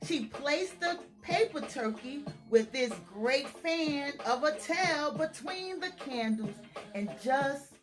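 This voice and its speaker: A woman's voice: sits high, expressive, takes it slowly, over music. A woman hosting a children's storytime in a theatrical, emphatic, singsong read-aloud style, drawing out and repeating phrases.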